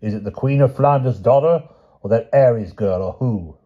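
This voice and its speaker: A man reading an audiobook, voicing a bluff, slow-witted old knight who speaks earnestly. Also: medium pitch, phone-quality sound, pitched above the performer's own voice, natural voice. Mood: puzzled. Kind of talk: audiobook character voice.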